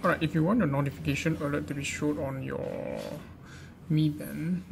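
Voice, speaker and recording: Higher-pitched man with creaky voice, noisy recording. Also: steady tone, normal speed. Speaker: presenter of a gadget how-to guide. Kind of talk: informal step-by-step tutorial narration. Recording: clearly noisy amateur recording.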